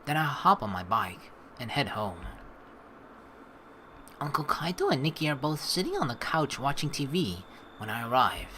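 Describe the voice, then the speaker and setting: A man's voice: medium pitch, moderate pace, slight background noise. A man doing casual playthrough commentary, reading narration aloud and joking with plenty of swearing, on a close mic. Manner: animated, joking, swears freely. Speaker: let's play streamer.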